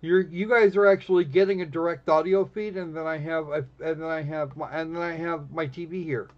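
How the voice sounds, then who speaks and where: A man giving casual, snarky live commentary on a game, blunt and halting, often restarting his phrases. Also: on the high side, steady tone. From United States. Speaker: live streamer playing a visual novel. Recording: dull, lo-fi stream audio.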